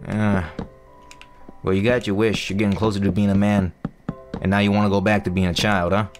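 Rambling young man, low voice. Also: little pitch movement, a little fast, soft background music. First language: not English. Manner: rambling, self-mocking, full of fillers and restarts. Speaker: gaming YouTuber. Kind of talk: casual gameplay commentary.

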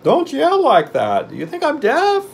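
A man's voice: very high voice, huge pitch swings, measured pace, gravelly, slight background noise, voice pushed up, full character voice. A man voicing a grave, naive, newly living pumpkin-headed man in a storybook reading. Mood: reproachful. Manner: grave, reproachful, earnest.

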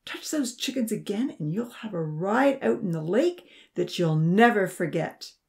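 A woman's voice: mid-range voice, animated, measured pace, creaky. A woman voicing a stern, defiant young man in a story, speaking firmly and with threat. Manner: firm, threatening.

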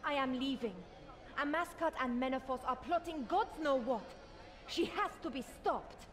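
High-voiced, defiant young heroine. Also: female voice, normal speed, a bit bright, a faint crowd. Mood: defiant, angry. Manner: indignant, firm. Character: defiant, headstrong young daughter of spymaster. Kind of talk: video game voice acting. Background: music.

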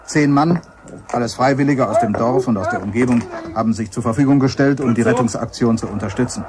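An actor playing an earnest radio reporter giving a measured live report in a radio drama. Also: male voice, mid-range voice, over a noisy crowd, natural voice. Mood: grave reporting. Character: earnest male radio reporter at an accident scene.

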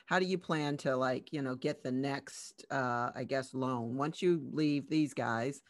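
A woman's voice: a bass voice, measured pace, no room sound. Woman co-host, conversational interviewer, dry studio-like sound. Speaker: show co-host. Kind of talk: casual questioning.

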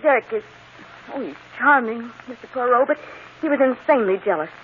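A woman's voice: a little high, animated, fairly quick, a little hiss or hum, natural voice. Lady playing scared young woman in an old radio mystery, voice swoops up and down, tinny. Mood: troubled, hesitant. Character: frightened, fretful young woman pleading for protection. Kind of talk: old-time radio drama acting.

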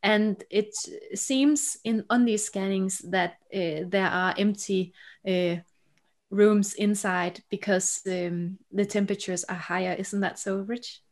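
A woman's voice: mid-range voice, fairly even intonation, slow pace, a little mellow. A woman in her thirties hosting a webinar discussion over a video call, with hesitant phrasing. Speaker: webinar host. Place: indoor office, on a video call.

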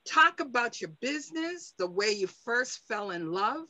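Bright, energetic woman on a video call. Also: fairly high pitch, a little slow, no echo. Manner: energetic, encouraging, rising emphasis. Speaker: panelist, interviewer. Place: home room, indoors.

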